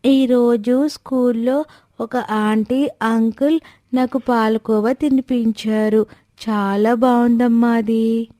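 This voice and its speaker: A woman's voice: on the high side, steady tone, slow pace, mellow, dry recording, studio quality. A woman voicing an innocent, trusting, obedient little girl with a simple questioning manner in a story. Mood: innocent, curious.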